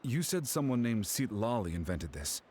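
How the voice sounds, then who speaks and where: An actor playing a reserved, knowing warrior scout in a video game, speaking in a measured, guarded way. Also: male voice, on the low side, very animated, darkish tone, a little hiss or hum. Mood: thoughtful. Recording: music playing behind the voice.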